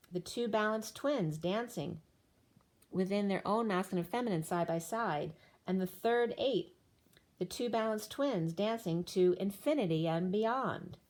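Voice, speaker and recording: A woman's voice: mid-range voice, darkish tone. A woman doing a spiritual tarot reading, running through cards and numbers in a flowing, incantatory way, her voice wearing thin. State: losing her voice. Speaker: tarot reader.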